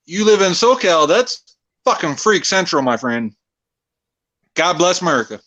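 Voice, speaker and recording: A man's voice: high voice, sing-song, unhurried, no room sound. Profane teasing man on lo-fi audio. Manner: loud, profane, teasing banter. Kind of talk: casual chat.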